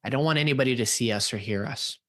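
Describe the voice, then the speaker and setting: A man's voice: medium pitch, quick pace, fairly bright tone, no echo, studio quality, lightly acted. A man playing an anxious, evasive young man in an amateur radio play, hesitant and defensive, often repeating himself. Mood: guarded.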